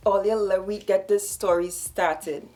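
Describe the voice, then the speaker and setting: Fast-talking Trinidadian woman telling a story. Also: medium pitch, fairly even intonation, dark tone, faint music behind. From Trinidad and Tobago. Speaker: vlogger. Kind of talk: casual storytime vlog.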